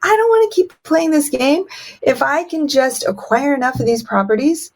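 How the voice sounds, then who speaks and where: A woman's voice: sits high, lively intonation, normal speed, darkish tone. A woman in her thirties, raised in China with Mandarin as her first language, telling her life story as a podcast guest, acting out quotes with big jumps in her voice.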